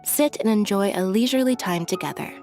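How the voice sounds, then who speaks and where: An actress playing a calm, reserved young woman in a video game, with deadpan delivery. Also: medium pitch, fairly quick, faint music behind, close to an everyday voice. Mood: cool.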